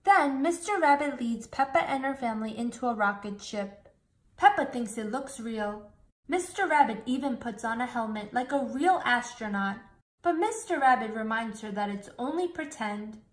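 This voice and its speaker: Clear storybook-reading woman, fairly high voice. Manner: slow, clear and expressive. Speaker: storybook reader. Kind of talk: reading a children's storybook aloud.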